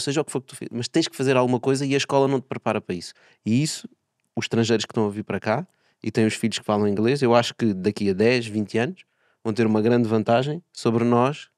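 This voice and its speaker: A man's voice: mid-range voice, a little mellow, no room sound, studio quality. A man in his thirties with a European Portuguese accent, hosting a podcast and chatting casually, often seeking agreement. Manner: conversational, checks agreement with tag questions. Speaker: podcast host. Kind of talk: casual interview chat. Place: podcast studio. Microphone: boom-arm studio mic.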